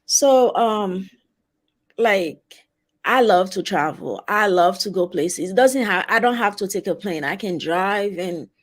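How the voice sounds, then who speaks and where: A woman in her forties from Haiti with a heavy Haitian accent, talking as an interview guest in a halting, casual way with many fillers. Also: mid-range voice, animated. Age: 40s. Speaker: guest, travel advisor.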